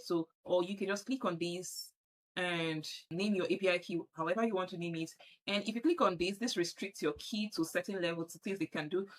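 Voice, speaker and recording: A Nigerian woman with a Nigerian accent walking viewers through a software setup on screen, often repeating herself as she clicks. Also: on the low side, poor audio. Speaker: tutorial presenter. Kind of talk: screen-share software tutorial.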